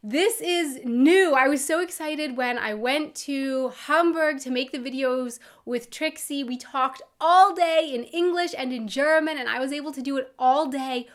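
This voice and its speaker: Chatty young American woman vlogging. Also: high-pitched. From United States. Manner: chatty and expressive, laughs easily. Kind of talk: casual vlog talk to camera.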